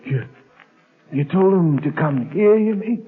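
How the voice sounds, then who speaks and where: An actor playing a desperate, double-crossed henchman in an old-time radio drama, with rough gangster talk and an excitable manner. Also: high voice, a little slow, reverberant, in a large hall. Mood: weak, gasping. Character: panicky, pleading crook's henchman, double-crossed. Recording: tinny old radio recording.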